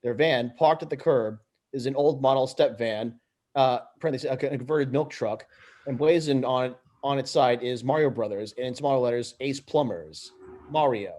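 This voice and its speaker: A man's voice: mid-range voice, moderate pace, a little mellow. A man reading screenplay stage directions aloud as narrator. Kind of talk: read-aloud script narration.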